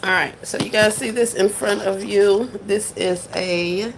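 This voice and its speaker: A soft-spoken woman, chatting casually with viewers on a livestream while she works on gift baskets. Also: mid-range voice, steady tone, a little slow, background noise. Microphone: phone set down while working.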